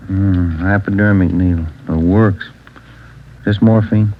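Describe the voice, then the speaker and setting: A steady detective partner, slightly low-voiced and easygoing in how he talks. The scene comes through a dull, lo-fi 1940s radio broadcast. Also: unhurried, slight background noise. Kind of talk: 1940s radio drama acting.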